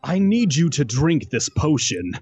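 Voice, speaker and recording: A man voicing a brooding, dryly sarcastic younger brother in a cartoon roleplay, with a deadpan, drawn-out delivery. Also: a little high, breathy edge, highs cut off, faint music behind, heavily acted. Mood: dry, insistent. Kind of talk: improvised Minecraft roleplay voice acting.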